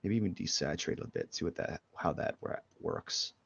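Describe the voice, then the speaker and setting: A man's voice: deep voice, brisk, creaky, slightly rough. A man with a head cold, sniffling as he rambles loosely through a live drawing stream with many fillers. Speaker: artist streaming a drawing session. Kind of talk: casual live drawing commentary. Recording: dull lo-fi stream audio.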